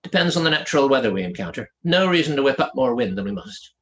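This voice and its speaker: A Scottish weathermaster, acted by a male narrator, plain-spoken and sure of his craft. He answers respectfully, with lively swings of pitch, in a fantasy storybook. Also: fast talker. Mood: respectful, understanding. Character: loyal Scottish male weathermaster of a flying ship.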